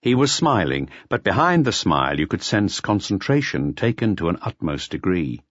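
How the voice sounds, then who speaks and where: A man's voice: low-pitched, big pitch swings, moderate pace, no room sound, highs cut off. A man reading a mystery audiobook as a scripted narration. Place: close, dry recording.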